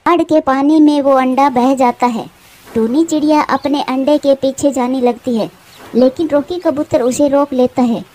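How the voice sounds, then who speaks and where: A woman's voice: high voice, steady tone, normal speed, darkish tone. A woman narrating a scripted children's story as the storyteller.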